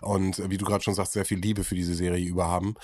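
A man's voice: deep voice, little pitch movement, quick pace, a little mellow, no echo, studio quality. A man co-hosting a casual podcast, talking hesitantly with many fillers and a playful tone. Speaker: podcast co-host.

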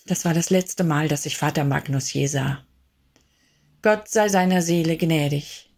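An actress playing a solemn crusade survivor, narrating in a measured way in a radio play with music behind. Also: female voice, low voice, creaky, a little mellow, heavily acted. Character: solemn survivor recounting the children's crusade voyage. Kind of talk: dramatic radio play narration, archaic German.

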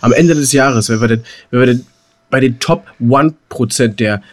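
A man's voice: mid-range voice, moderate pace. Man bantering casually as podcast co-host. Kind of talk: casual banter between friends.

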